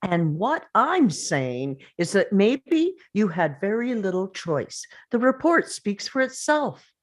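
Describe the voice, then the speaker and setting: A woman's voice: mid-range voice, sing-song, slow. A woman playing a grieving, angry father in a dramatic script read, confrontational and emphatic. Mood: tense, insistent. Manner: confrontational, emphatic, pressing with questions.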